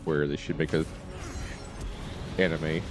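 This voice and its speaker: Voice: very deep voice, little pitch movement, measured pace, over music. A game streamer giving casual, off-the-cuff live commentary while playing.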